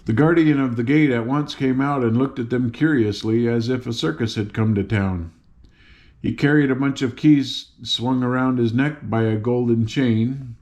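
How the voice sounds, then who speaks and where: A man's voice: medium pitch, moderate pace, darkish tone. An older man narrating a children's story as a read-aloud, with informal little asides.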